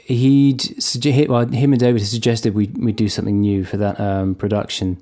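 A man being interviewed as a guest composer, answering thoughtfully with frequent pauses and fillers. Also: lowish voice, little pitch movement, dark tone. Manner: thoughtful, hesitant, many fillers.

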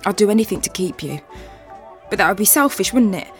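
A woman's voice: mid-range voice, lively intonation, a little fast, soft background music, natural voice. An actress playing a caring older sister who teases and hurries her younger brother along, in an audio drama. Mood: tender. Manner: coaxing, bossy then tender.

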